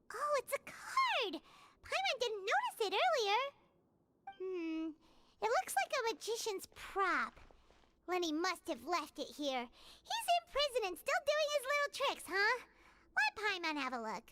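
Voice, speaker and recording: A woman voicing a small, excitable, naive fairy girl companion in a video game, with a squeaky childlike voice and an exclamatory manner. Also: a little slow, heavy background noise, full character voice. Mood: surprised, then casual, curious. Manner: speaks of self in third person, exclamatory. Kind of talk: video game character acting.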